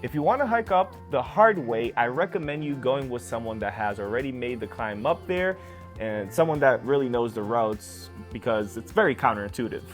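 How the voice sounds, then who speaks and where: An upbeat man narrates a travel guide, recommending places to eat and hike. His voice sits mid-pitch, and soft music plays underneath. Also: expressive, normal speed. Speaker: travel vlog host.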